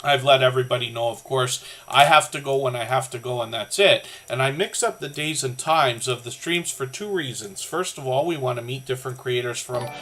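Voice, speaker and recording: A man's voice: mid-range voice, a little fast, few pauses, sharp tone. A Canadian man with a slightly scratchy voice hosting a casual livestream, rambling along in a friendly way as he talks to his chat. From southern Ontario, Canada. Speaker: livestream host of a creator community channel.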